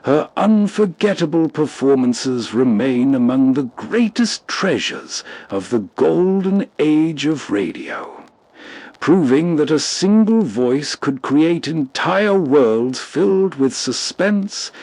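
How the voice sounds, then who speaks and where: A man narrates slowly and softly, with a lively pitch that rises and falls. The voice-over is dry and close, with no room sound. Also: no echo. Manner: soft, unhurried, cozy. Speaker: channel narrator. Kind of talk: scripted narration.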